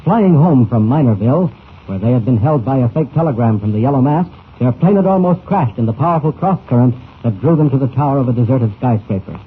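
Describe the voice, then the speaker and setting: A man's voice: medium pitch, animated, a little fast, breathy edge, narrow, tinny audio. An American man announcing a radio serial in dramatic 1940s style, theatrical and emphatic, on a scratchy old recording.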